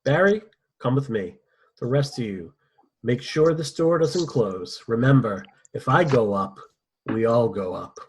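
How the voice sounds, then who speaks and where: A man at a script table read playing a cynical, smug, ruthless mercenary villain with a husky, sardonic delivery. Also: mid-range voice, animated, a little slow, a little hiss or hum, close to an everyday voice. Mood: impatient, commanding. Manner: sardonic, profane.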